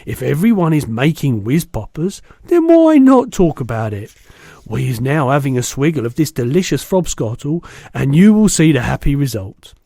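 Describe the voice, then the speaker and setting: A man's voice: on the high side, sing-song, moderate pace, gravelly, warm tone, no echo, heavily acted. A man voicing a big, friendly old giant in a storybook, exuberant and indignant, exclaiming emphatically in muddled words and grammar. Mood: emphatic.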